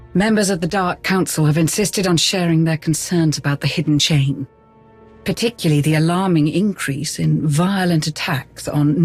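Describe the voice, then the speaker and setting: An actor playing a polite, anxious advisor to a Sith lord in a video game, formal and deferential, with a husky voice. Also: male voice, on the high side. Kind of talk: video game cutscene acting.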